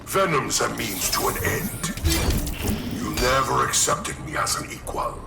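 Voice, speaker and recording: A man's voice: medium pitch, animated, unhurried, vocal fry. A man playing an arrogant, brutal hulking villain in a video game, imperious and menacing, in an echoey recording with music. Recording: echoey, loud music behind.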